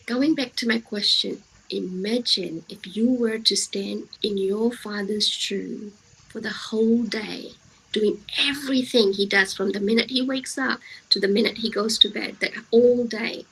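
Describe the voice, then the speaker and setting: A woman's voice: mid-range voice, fairly bright tone, breathy edge, low-quality recording. A woman giving a motivational talk to young people, speaking emphatically with repeated phrases and rhetorical questions. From grew up in the Western world, of Indian heritage.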